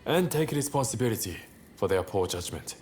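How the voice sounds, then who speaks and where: Low-voiced, confident young samurai rebel played by an actor. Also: male voice, animated, fairly quick, a little mellow, a little hiss or hum, lightly acted. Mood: grave, resolute. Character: bold young male samurai rebel leader, charming and confident. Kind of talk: video game cutscene acting.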